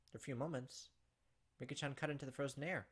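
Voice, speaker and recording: A man's voice: mid-range voice, quick pace, a bit bright, slightly rough. A man hosting a gaming video, reading a visual novel aloud and adding casual commentary over game music. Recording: music behind the voice.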